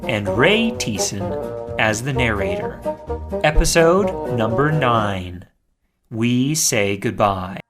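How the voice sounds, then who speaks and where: A woman's voice: a bass voice, lively intonation, slow, over loud music. A woman reading a scripted introduction as announcer.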